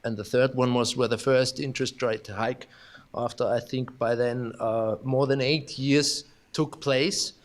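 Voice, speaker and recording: A man's voice: medium pitch, fairly even intonation. Austrian-accented man giving a conference keynote. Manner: halting, many restarts and fillers, self-deprecating humor. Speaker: keynote speaker. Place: conference hall.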